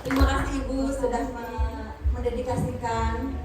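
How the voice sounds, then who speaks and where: A woman's voice: fairly high pitch, flat delivery, moderate pace, over loud music. Enthusiastic woman, laughing, echoey. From Ciamis, West Java. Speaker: participant. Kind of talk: casual self-introduction. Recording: echoey, from far off.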